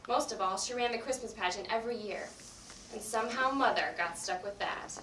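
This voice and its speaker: A woman's voice: mid-range voice, brisk. A young woman narrating a scripted story on stage as a readers theatre narrator. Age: young adult. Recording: echoey room, distant mic, clear noise.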